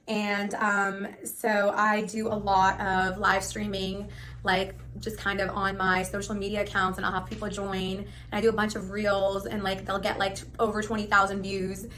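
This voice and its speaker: A woman in her thirties, originally from Pakistan, giving a spontaneous, rambling, animated self-introduction. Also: medium pitch, moderate pace, slight background noise. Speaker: live stream host applicant.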